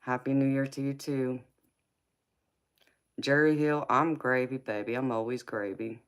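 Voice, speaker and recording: A woman in her forties with a light Southern twang chats with her livestream viewers. Her voice sits remarkably low for a woman. She talks in a relaxed, folksy way and calls everyone baby and honey. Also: unhurried, clear, resonant tone. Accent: Southern American. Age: 40s. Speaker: tarot reader answering live chat. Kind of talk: casual livestream chat.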